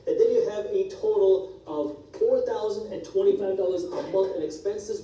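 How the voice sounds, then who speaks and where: Brief: man, Punjabi first language, finance explainer, high and dark-toned, replayed through hall speakers, distant. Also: muffled recording. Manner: brisk, direct, walks through numbers. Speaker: personal finance educator. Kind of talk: scripted explainer video. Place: played on speakers in a church hall. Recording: video replayed in an echoey hall, heard from afar.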